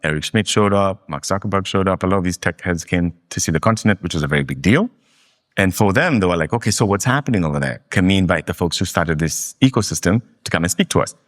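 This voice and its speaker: A man from Kenya, a guest in a fireside interview, telling stories in an animated, emphatic way. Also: low voice, fast talker. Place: live meetup venue.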